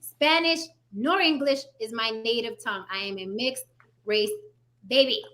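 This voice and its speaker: Woman host, high bright voice, blunt and emphatic panel talk. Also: measured pace. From Puerto Rican heritage. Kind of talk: panel discussion.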